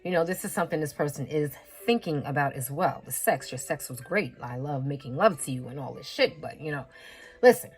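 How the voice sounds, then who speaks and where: A fairly low-voiced woman doing a laid-back tarot reading, talking straight and swearing without a second thought. She hammers her points home by repeating phrases and sniffles a little from a head cold, with faint music playing behind her. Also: animated, brisk, poor audio. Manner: frank and blunt, with frequent swearing and laughing asides. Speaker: tarot reader.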